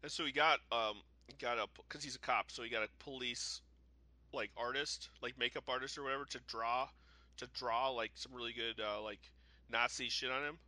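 A man in loose podcast chat with a very bright, forward voice. He rambles and circles back, stacking "like" and half-finished thoughts. The sound is dry and close. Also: mid-range voice, steady tone, moderate pace, dry recording. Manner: rambling, full of "like", trails off and restarts. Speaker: podcast co-host. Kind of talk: casual chat about a TV show.

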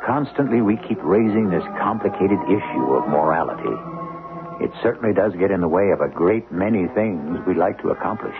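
A man narrates as host of a mystery radio play in a low, deep register. His delivery is measured and rhetorical. Music plays behind him, and the sound is that of a narrow old broadcast. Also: expressive. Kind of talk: dramatic scripted narration.

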